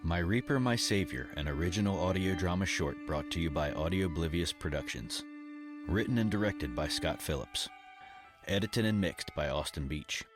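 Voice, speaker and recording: A man's voice: sits low, moderate pace. A man reading scripted closing credits as an announcer for an audio drama. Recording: violin music behind voice.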